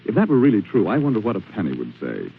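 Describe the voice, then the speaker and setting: A man's voice: on the low side, huge pitch swings, quick pace, vocal fry. Old time radio announcer guy, salesman polish, narrow tinny sound with faint hiss, scripted ad read. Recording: noisy narrow-band 1940s radio recording.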